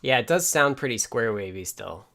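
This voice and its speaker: A man casually talking through live coding and electronics as a stream host, halting as he thinks aloud. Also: medium pitch, lively intonation, gravelly, pushed and bright. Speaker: livestream host and software developer.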